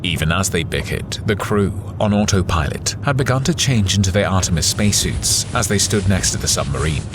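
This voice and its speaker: A man's voice: low voice, animated, fairly quick, background noise. A man reading scripted narration for a science fiction audio adventure.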